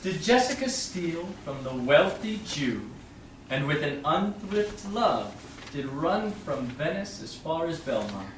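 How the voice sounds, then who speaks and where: A man's voice: fairly high pitch, very animated, slow. A man playing a romantic, poetic young lover in a staged Shakespeare reading. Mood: tender, lyrical. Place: small theatre stage, far audience camera. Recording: very echoey stage, distant camera, faint noise.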